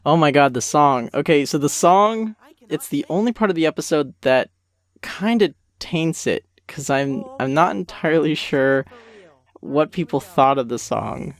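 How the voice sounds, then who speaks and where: A man giving a casual, rambling and self-deprecating commentary on an animated series he made. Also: fairly high pitch, a little slow. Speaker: creator of an animated parody series, commentary host. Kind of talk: casual commentary track chat.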